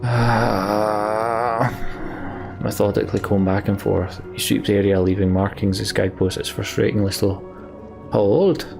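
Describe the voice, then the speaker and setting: A man with a gravelly voice reading a game's story text theatrically as a let's play streamer. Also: deep voice, completely flat delivery, fast, few pauses. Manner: theatrical, acts out the characters' lines. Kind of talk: dramatic reading of game story text, with asides. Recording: game music behind the voice. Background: game music.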